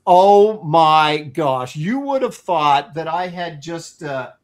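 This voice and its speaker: Animated, wry male co-host. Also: fairly high pitch, slow. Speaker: podcast co-host. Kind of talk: casual live-stream chat.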